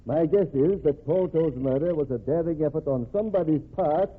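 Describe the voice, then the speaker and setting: Tinny, husky, dignified governor. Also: male voice, fairly high pitch. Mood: stern, suspicious. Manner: formal, measured, authoritative. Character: stern, dignified state governor, formal and unbending. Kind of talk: old-time radio crime drama acting. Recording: tinny band-limited old radio recording.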